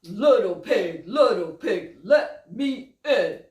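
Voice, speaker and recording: A woman voicing the big bad male wolf, booming and drawn out. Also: animated, takes it slowly, darkish tone, slight background noise. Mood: threatening. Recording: echoey room, far from mic.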